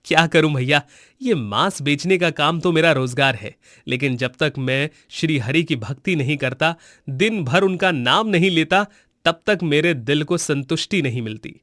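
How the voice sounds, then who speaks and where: Humble pious butcher voiced by a man for an animated moral story. Earnest and wondering, lightly raised male pitch, dry booth. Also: normal speed, no room sound. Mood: earnest, content. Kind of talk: cartoon moral-story voice acting.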